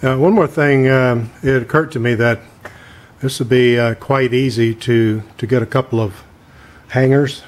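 A man's voice: mid-range voice, steady tone, moderate pace. A middle-aged man from Texas casually explaining a homemade project in his garage, talking in a plain, rambling way with lots of fillers. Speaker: DIY maker. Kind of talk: casual how-to demonstration. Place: home garage workshop. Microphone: camera mic from a distance.

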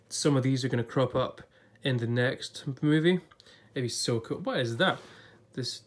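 A man, a little off-voice while getting over an illness, flipping through an illustrated book in a casual vlog, chatty and thinking aloud. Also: medium pitch. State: voice a bit off from recent illness. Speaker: YouTuber reviewing a book. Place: indoors at a table before a blue backdrop.

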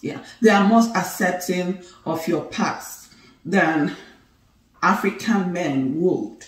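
Nigerian woman, 30s, animated and teasing, roomy far-off living-room sound. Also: fairly low pitch, slow pace. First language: Igbo. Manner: animated, laughing, playful and teasing. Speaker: co-host of a couple's channel. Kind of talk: casual chat to camera. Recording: roomy, somewhat distant sound.

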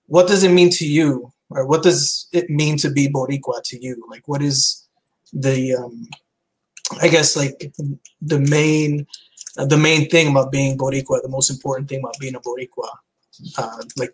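A man's voice: medium pitch, fairly even intonation, moderate pace, rough recording. A middle-aged man, a guest filmmaker on a video call, asking questions earnestly and searching for words. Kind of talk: casual video call chat. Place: home room with bookshelves. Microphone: headset.

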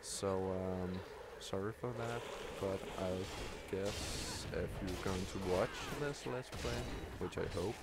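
A Danish-speaking man from Denmark talks over his gameplay, tired and stumbling, filling gaps with ums. His voice is low and monotone, and he drags his words out slowly. A loud wash of noise sits under everything. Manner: tired, halting, many ums and restarts. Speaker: gamer commentating his play. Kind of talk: live let's play commentary.

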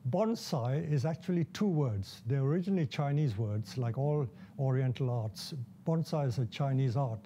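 A man in his seventies from India talks through his story in a calm, explanatory way, pausing now and then with small fillers. His voice sits a little high for a man yet has a mellow, unforced tone. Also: a little hiss or hum. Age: 70s. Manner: measured and explanatory, with occasional fillers. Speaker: bonsai nursery owner. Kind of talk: documentary interview, recounting his life. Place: large glass greenhouse full of plants.

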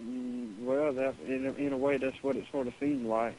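A man's voice: medium pitch, steady tone, moderate pace, darkish tone. A man working as a pet store employee, answering matter-of-factly and a little hesitantly over the telephone. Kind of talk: customer service phone conversation. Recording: hissy, noisy telephone line.

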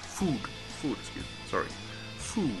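A man gives casual let's-play commentary, halting and thinking aloud as he plays. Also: medium pitch, very animated, loud music behind the voice. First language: Spanish. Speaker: gamer commentating his play.

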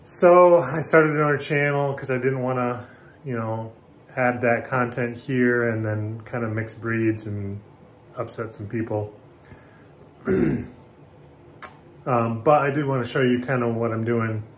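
A middle-aged American man talking casually and haltingly, unscripted, like a hobbyist hosting a vlog in a small room. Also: mid-range voice. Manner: rambling, informal, halting. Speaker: video host, hobbyist speaker restorer. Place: small bathroom indoors. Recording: echoey small room, background noise.

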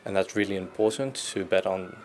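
A man's voice: low-pitched, fairly quick, darkish tone, slight background noise. A young man from Australia, a student answering interview questions thoughtfully, often starting with um. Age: young adult. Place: classroom indoors. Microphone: small handheld mic near chest.

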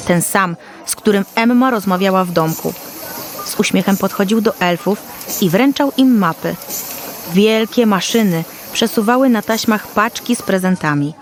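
A woman's voice: mid-range voice, a little hiss or hum. A woman storyteller reading a scripted Christmas fairy tale for children.

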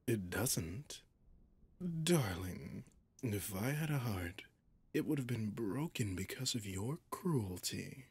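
A man playing a possessive, mocking male demon in an ASMR roleplay, seductive and sinister, with a breathy, often whispering, teasing drawl. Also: fairly low pitch, heavily acted. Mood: mock tender.

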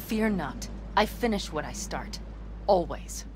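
A woman's voice: fairly low pitch, expressive, a little slow, a little mellow, faint music behind. An actress in a video game playing a brash, hot-headed young nomad woman, confident, cocky and sweary. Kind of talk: video game cutscene acting.

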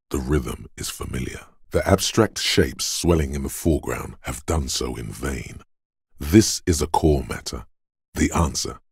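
Super deep raspy inner voice of a beat up detective in a game, grave n stoic, slow heavy words, really dry close sound. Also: male voice, a little mellow, no echo, full character voice. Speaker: voice actor. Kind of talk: scripted game narration in second person.

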